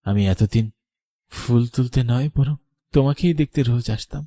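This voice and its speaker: A man's voice: medium pitch, normal speed, mellow, no echo, studio quality, close to an everyday voice. A man voicing an earnest young landlord's son in love, emotional and dramatic, in a cartoon. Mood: tender. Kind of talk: melodramatic cartoon voice acting.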